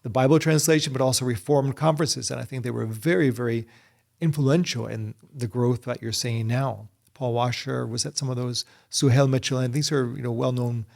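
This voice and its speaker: A man's voice: medium pitch, fairly even intonation, brisk, creaky, a little mellow. A middle-aged man answering interview questions earnestly, often restarting and repeating words. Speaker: guest, Bible society secretary.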